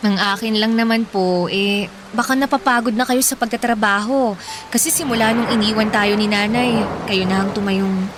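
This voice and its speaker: A woman's voice: mid-range voice, fairly even intonation, moderate pace, music in the background, close to an everyday voice. A woman playing a devoted young daughter in a Filipino radio drama, earnest and respectful, with a Filipino accent. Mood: tender, worried. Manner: earnest, respectful, fussing over her father. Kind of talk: radio drama acting in Tagalog. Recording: noisy ambience behind the voice.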